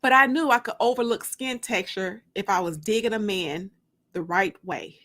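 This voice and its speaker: A woman giving an expressive, dramatic first-person reading of fiction, like an audiobook. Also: mid-range voice, moderate pace. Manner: expressive, acts out dialogue. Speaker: narrator reading fiction aloud.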